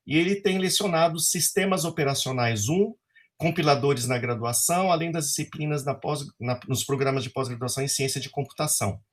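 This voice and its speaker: A man's voice: a little high, fairly bright tone. A man hosting an academic webinar over a video call, reading a formal introduction with frequent fillers. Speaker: webinar host.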